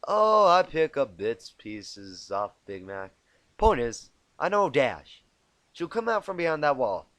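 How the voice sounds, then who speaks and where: A man voicing a calm, confident female farm pony with a folksy, easygoing manner in a cartoon fan story. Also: mid-range voice, takes it slowly, no room sound. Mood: steady, reassuring.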